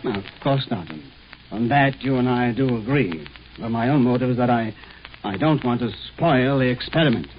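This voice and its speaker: An actor playing an earnest, idealistic scientist in a 1950s radio drama, with a hesitant, pleading manner. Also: male voice, medium pitch, normal speed, natural voice. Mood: measured, explanatory. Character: earnest, dreamy idealistic male scientist professor. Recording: muffled, noisy 1950s radio recording.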